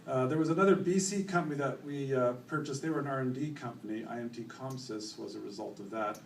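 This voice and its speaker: A middle-aged Canadian man giving a company presentation at a conference, relaxed and full of fillers. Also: medium pitch, fast talker, gravelly. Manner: relaxed, many fillers, self-corrects. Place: conference hall. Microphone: room mic, later handheld. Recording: echoey hall from far off, faint noise.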